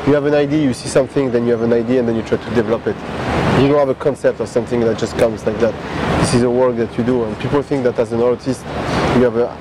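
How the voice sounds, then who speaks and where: A man, speaking in a relaxed, searching way and pausing often on fillers. He sits at an outdoor table in a city plaza, with heavy traffic noise all around him. His pitch is average for a man. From France. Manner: relaxed, hesitant, many fillers. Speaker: artist guest. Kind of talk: casual interview.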